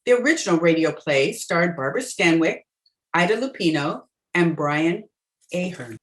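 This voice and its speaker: Lowish-voiced woman hosting a radio play. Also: lively intonation, moderate pace, low-quality recording. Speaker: host. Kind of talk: scripted radio introduction.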